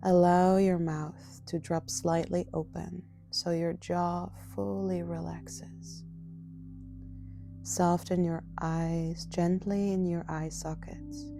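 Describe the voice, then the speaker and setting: A woman guides a relaxation meditation in a gentle, soothing voice. She speaks very slowly, with a fry at the edges of her words. Soft meditation music plays clearly behind her. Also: on the low side, little pitch movement. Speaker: yoga nidra guide.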